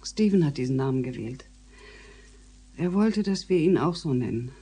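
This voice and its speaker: An actress playing a middle-aged female politician and guilt-ridden mother in a radio drama, breathy, with halting, emotional delivery. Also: low-pitched, lively intonation, warm tone. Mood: bitter, guilty. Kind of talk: naturalistic German radio drama acting.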